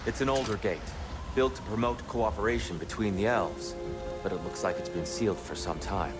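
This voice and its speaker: Game casting: male actor as gentle, learned god, low voice, measured and courteous, weary idealist, loud score behind. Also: loud music behind the voice, lightly acted. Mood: calm. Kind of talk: video game voice acting.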